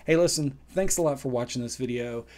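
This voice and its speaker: A man's voice: mid-range voice, brisk, a little mellow. A man hosting a vlog, talking conversationally to the viewer and giving advice, repeating his points for emphasis. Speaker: video host. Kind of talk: casual advisory vlog talk to camera.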